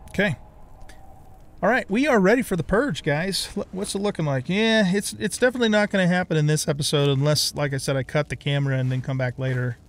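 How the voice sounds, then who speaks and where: Casting: middle-aged male gamer host, gravelly fry, slightly high pitch, casual rambling commentary. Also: fast talker. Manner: rambling, thinking aloud. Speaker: let's play host.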